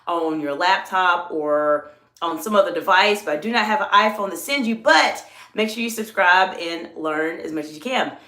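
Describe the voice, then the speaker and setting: Woman executive coach, Southern US accent with a New York edge, emphatic drawn-out delivery, roomy echo. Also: fairly low pitch, sharp tone. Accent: Southern US mixed with New York. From American South. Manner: drawn-out emphatic words, reads chat aloud. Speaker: executive coach and channel host. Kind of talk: live-stream coaching talk.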